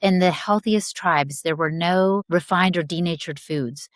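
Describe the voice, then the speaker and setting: Woman in her sixties, lowish voice, dry close sound, eager advice-giving. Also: a little slow, no echo. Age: 60s. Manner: enthusiastic, advice-giving, talks to listeners as "Mama". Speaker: guest, wellness educator. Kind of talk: casual podcast conversation.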